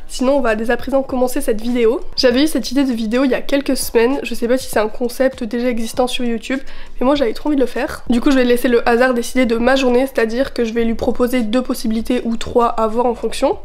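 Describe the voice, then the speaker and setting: A woman's voice: fairly high pitch, a little mellow. Upbeat young woman with a cold. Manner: chatty and upbeat, with frequent fillers. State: voice worn from a chest cold. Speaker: vlogger. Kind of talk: casual vlog chat. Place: at home, partly out on a terrace.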